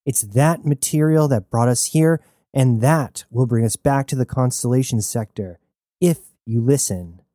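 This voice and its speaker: Reckless heroine, bold and persuasive, lightly lifted pitch; narrator's take. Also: female voice, a little slow, mellow, dry recording, studio quality. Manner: brash, confident. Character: bold reckless young woman adventurer.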